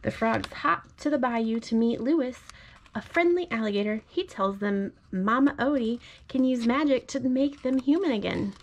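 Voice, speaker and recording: A woman speaking gently, as a parent does to a small child at bedtime. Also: on the high side, expressive, normal speed, rough recording. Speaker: parent reading aloud. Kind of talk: bedtime storybook read-aloud. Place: bedroom at home.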